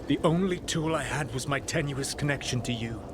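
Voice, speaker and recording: A man plays a proud old trickster mage, solemn and full of regret, in a game cutscene. The words are measured and formal, in a mid-pitched voice. A loud rumbling ambience sits behind it. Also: background noise, natural voice. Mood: remorseful. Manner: measured, formal, weighty phrasing. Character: solemn, remorseful ancient male trickster mage, proud and grave. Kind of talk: dramatic video game cutscene acting.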